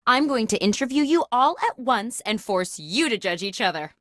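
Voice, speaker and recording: A woman's voice: fairly high pitch, sing-song, normal speed, a bit bright, no room sound, studio quality. A woman playing a chipper, insecure, scatterbrained office boss as the host of a video game, with an over-eager, theatrical delivery.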